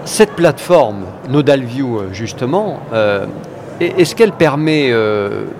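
A man's voice: medium pitch, lively intonation, slow pace, a crowd in the background. A man hosting an interview, chatty and a little teasing, steering his guest with leading questions. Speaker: interviewer, host. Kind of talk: conversational interview. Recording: faint background noise.

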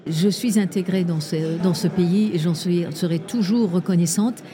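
Earnest woman making her case, crowd behind. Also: on the low side. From Iran. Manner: earnest, persuasive, lists figures deliberately. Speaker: guest. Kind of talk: conversational interview.

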